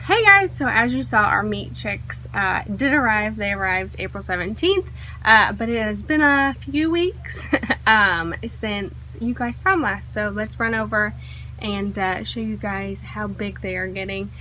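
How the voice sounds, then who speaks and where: A woman's voice: medium pitch, unhurried, a little hiss or hum, low-quality recording. Chatty young woman filming on her phone. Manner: relaxed and chatty, many "um"s and soft laughs. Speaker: homestead vlogger. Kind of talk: casual vlog chat. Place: outdoors in a sunny backyard. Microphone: phone held at arm's length.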